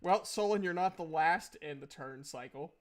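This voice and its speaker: A man's voice: fairly high pitch, moderate pace, a little hiss or hum. A man narrating and refereeing a tabletop fantasy game as game master in an informal, casually sweary way.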